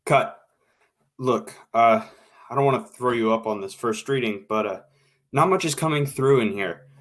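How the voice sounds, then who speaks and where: An actor playing a tactful but fussy radio director, hesitant and repeating himself, in an old-time radio drama. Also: male voice, medium pitch, normal speed, fairly bright tone, lightly acted. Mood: tactful dissatisfaction.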